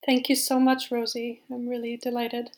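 A woman's voice: fairly high pitch, monotone, moderate pace, a little mellow. A woman explaining her field as an interview guest, in an informal, conversational way with hedging words. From Iceland. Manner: informal, hedging with "like" and "you know". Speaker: interview guest, Viking scholar and author.

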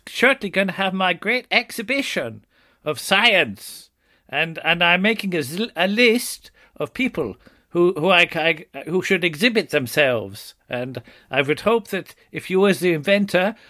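A man voicing a pompous, fussy prince with an odd put-on accent, speaking in halting, stammering restarts and grand formal phrases in an improvised comedy sketch. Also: high-pitched, lively intonation, sharp tone, full character voice.

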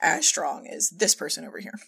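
A woman's voice: sits low, brisk, gravelly, bright, forceful tone, breathy edge. A woman talking casually about her directing work as a podcast guest. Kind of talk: casual conversational discussion.

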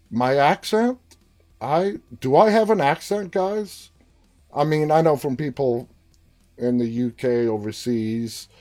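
Male host, New York accent, unhurried, chatty live-stream delivery. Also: mid-range voice, darkish tone. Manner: chatty, reads viewer comments aloud. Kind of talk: casual live-stream chat.

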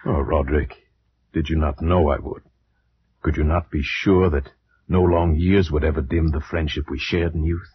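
A muffled vintage radio recording with faint noise carries a grave gentleman. His very deep voice is solemn, formal and measured, in the manner of gothic radio drama. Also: fairly even intonation. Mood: concerned. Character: grave gentleman narrator, loyal and concerned friend. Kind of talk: 1940s radio acting, gothic narration.

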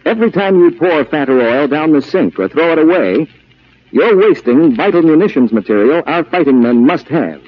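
Male 1940s radio announcer, deliberate and earnest scripted appeal, muffled old recording. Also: fairly high pitch, lively intonation.

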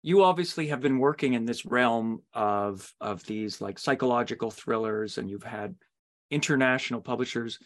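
Male podcast interviewer, average pitch, informal and conversational. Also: normal speed.

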